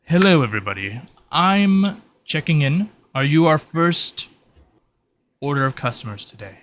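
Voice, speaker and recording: A man voicing a polite male shopkeeper in a tabletop roleplay, courteous and measured, with some hesitation. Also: a little high, lively intonation, fairly bright tone, slight background noise. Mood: welcoming. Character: polite, well-presented male shopkeeper greeting customers.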